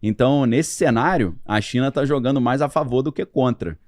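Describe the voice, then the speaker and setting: A man's voice: medium pitch, normal speed, darkish tone, no room sound, studio quality. A man talking as a podcast guest, explaining history in a casual, loose way with plenty of slang and fillers.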